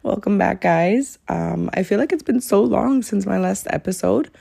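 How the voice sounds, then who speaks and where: A young woman hosting her own podcast in a sincere, chatty solo talk, her voice a little raspy today, with frequent "um"s. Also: medium pitch, moderate pace, dark tone. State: slightly raspy voice. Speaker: podcast host.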